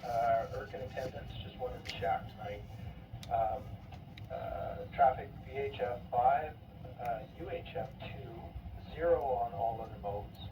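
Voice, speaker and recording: A man's voice: medium pitch, unhurried. A man giving clipped status reports as an operator checking in over a two-way radio. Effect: two-way radio transmission. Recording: noisy, echoey, distant radio transmission.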